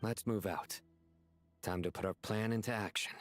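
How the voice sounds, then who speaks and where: An actor playing a composed, stoic young man in a video game, calm and deliberate with dry humour. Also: fairly low pitch, soft background music, close to an everyday voice. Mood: steady. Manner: calm, deliberate, dryly humorous.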